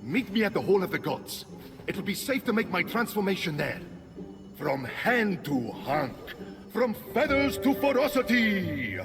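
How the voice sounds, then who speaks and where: An actor playing a blustering, theatrical war god trapped in a chicken's body, in an animated video game. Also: male voice, high-pitched, unhurried, over music, full character voice. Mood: bombastic. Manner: theatrical, pompous, self-dramatizing. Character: war god stuck as a chicken, blustering, dramatic, easily flustered.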